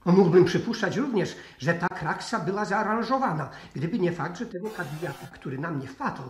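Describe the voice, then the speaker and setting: A matter-of-fact officer in a vintage radio play. His voice sits a little high, reporting to superiors in an echoing studio. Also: lively intonation, a little fast. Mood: matter-of-fact, explaining. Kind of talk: 1960s Polish radio drama acting.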